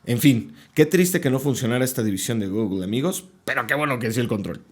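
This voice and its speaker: A man's voice: medium pitch, lively intonation, fast. A Mexican man reading scripted news briefs informally as a podcast host.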